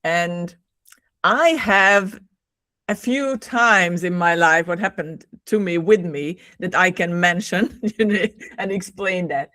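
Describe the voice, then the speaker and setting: A woman's voice: lowish voice, takes it slowly, bright, forceful tone, no room sound. Enthusiastic Hungarian-accented woman, dry sound. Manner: enthusiastic, laughs often, repeats words. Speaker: podcast guest. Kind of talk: casual conversation.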